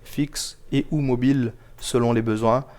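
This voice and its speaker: A man giving explanatory answers as an interview guest, measured and formal, pausing often to choose his words carefully. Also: medium pitch, fairly even intonation, darkish tone. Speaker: guest, local government project officer.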